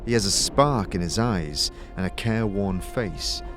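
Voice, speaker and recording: Low-voiced male fantasy narrator. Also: expressive. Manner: measured, solemn storytelling. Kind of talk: scripted dramatic fantasy narration. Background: music.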